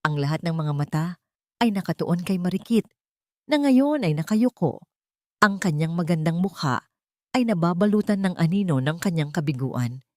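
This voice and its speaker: Woman doing dramatic story narration, pitch moves around a lot, really dry close sound. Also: animated, normal speed, dark tone, dry recording.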